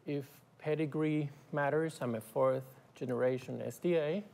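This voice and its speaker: Argentine man chatting haltingly on a TV set. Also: a little high, steady tone, gravelly, darkish tone. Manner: halting, with many fillers and restarts. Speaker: guest musician. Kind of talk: casual interview talk. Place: TV studio set.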